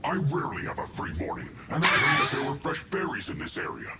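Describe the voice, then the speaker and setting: A man's voice: on the high side, huge pitch swings, fast talker, very noisy. An actor voicing a shifty male henchman in a video game, protesting his innocence in a whiny, defensive way. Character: shifty male henchman caught berry-picking.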